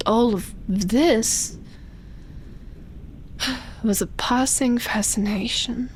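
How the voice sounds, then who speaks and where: Very slow, breathy seductive vampire woman roleplay. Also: mid-range voice, a little hiss or hum, close to an everyday voice, low-quality recording. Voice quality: breathy, often dropping into a whisper. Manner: slow, drawn-out, teasing, with lingering pauses. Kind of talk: roleplay ASMR, slow intimate monologue.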